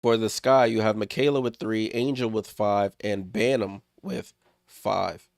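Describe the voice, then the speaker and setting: A man's voice: fairly low pitch, steady tone, unhurried, creaky, no echo, clean studio sound. A man hosting a live watchalong stream, calling the game in short clipped play-by-play bursts and chatting with viewers, a little under the weather and congested. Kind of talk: casual live play-by-play commentary.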